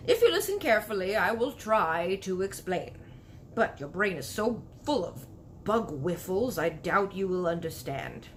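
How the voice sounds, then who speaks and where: A woman reader puts on a big, proud male giant from a storybook. He is excitable and easily indignant, swooping up and down as he stretches out his exclamations in muddled grammar. Some noise sits behind the voice. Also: mid-range voice, a little slow. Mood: lecturing.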